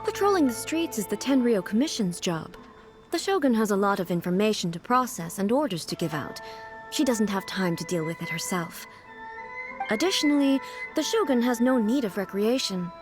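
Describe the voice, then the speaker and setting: A woman playing a composed, aloof immortal ruler in a video game, speaking in a formal, measured, dignified manner. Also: mid-range voice, animated, over music, natural voice. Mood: unbothered, formal.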